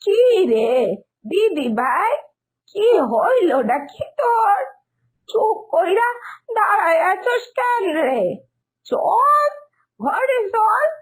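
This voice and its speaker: A woman playing a doting, insistent old village grandmother in a cartoon, with an East Bengali accent and slow, coaxing, drawn-out speech. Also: very high voice, very animated, a bit bright, full character voice, low-quality recording. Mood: urging. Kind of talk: animated moral-story cartoon acting.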